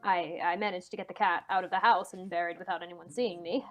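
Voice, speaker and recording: Radio mystery role: troubled, hesitant man confiding dark suspicions, played by a woman, music underneath. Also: on the low side, steady tone, fast, natural voice. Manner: hesitant, stammering. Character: anxious man confiding a suspected family murder. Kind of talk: old-time radio mystery acting.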